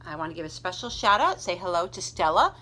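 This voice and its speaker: A woman librarian reading aloud to children in an expressive storytelling manner, speaking directly to young listeners. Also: on the low side, fast talker, rough recording.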